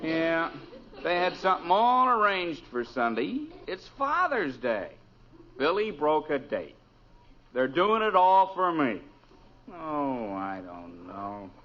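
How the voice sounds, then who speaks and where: Henpecked husband, high voice, animated, excitable, old radio comedy, muffled recording. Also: male voice, slow pace. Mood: eager, pleading. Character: excitable henpecked husband and father, eager, exasperated fisherman. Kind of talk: old-time radio sitcom acting.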